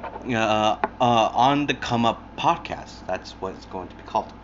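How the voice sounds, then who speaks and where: A man's voice: fairly low pitch, a little slow, highs cut off, noisy background. A young man hosting his own podcast alone, rambling casually with lots of fillers, restarts and swearing, recorded on an earbud mic. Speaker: podcast host. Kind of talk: casual solo podcast chat.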